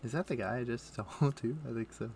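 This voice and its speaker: A man chatting casually on a game live stream, thinking aloud in a halting, muttering way as he plays. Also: medium pitch, darkish tone, slight background noise. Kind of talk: casual live-stream chat while playing an online game. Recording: faint music behind the voice. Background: faint music.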